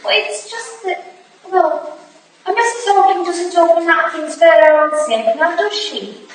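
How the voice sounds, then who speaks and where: An earnest young Victorian protégée played by a woman talks in a very high, polite, tentative voice. It is a period stage reading, caught from a distance in a very echoey room with faint noise. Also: measured pace. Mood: wondering, uncertain.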